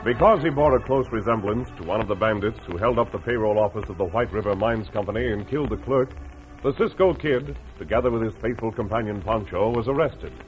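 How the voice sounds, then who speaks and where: An American man announces and narrates a radio adventure in a dramatic mid-century style. The sound is tinny and narrow, with clear music behind him. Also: fairly low pitch, fairly quick.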